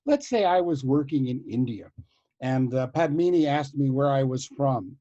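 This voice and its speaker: A man's voice: mid-range voice, animated, normal speed, mellow, dull audio. A man telling a playful anecdote as a panelist on a video call, joking easily. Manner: jokey, playful storytelling. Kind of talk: casual anecdote.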